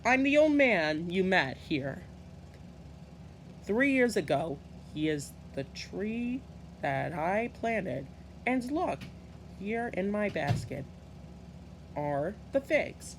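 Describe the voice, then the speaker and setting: Give me a man reading as a proud, cheerful, very old storybook gardener, speaking slowly with faint noise behind. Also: high-pitched, big pitch swings, exaggerated voice. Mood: cheerful, inviting.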